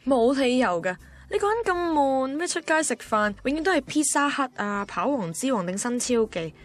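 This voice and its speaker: A woman's voice: high-pitched, lively intonation, normal speed. An actress playing a blunt, teasing, know-it-all female friend in a radio drama, emphatic, with a Hong Kong Cantonese accent. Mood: exasperated, teasing. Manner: emphatic, mixes in English words. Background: music.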